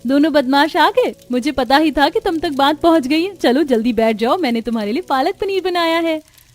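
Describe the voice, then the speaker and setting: A woman's voice: high voice, normal speed, dry recording, voice pushed up, natural voice. A woman playing a caring, determined young daughter-in-law in a cartoon story, speaking in an earnest, reassuring way. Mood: cheerful.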